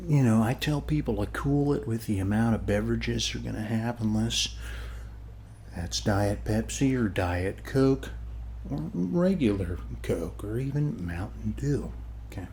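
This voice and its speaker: A man playing a friendly, rambling neighbor in a soft ASMR roleplay, speaking haltingly and often dropping to a whisper. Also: on the low side, expressive, vocal fry, a little hiss or hum. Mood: rambling, easygoing. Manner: halting, rambling, reassuring, often ending phrases with "okay". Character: friendly rambling male neighbor at a lake party. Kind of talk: ASMR roleplay, soft-spoken one-sided conversation.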